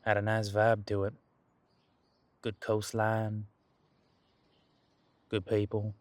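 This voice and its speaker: A man's voice: lowish voice, completely flat delivery, slow pace, dry recording. A man playing an easygoing, thousands-of-years-old demon detective in an audio roleplay, chatting in a laid-back, folksy, musing way. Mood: relaxed, musing. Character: easygoing ancient male demon detective living on the coast. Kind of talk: conversational one-sided audio roleplay.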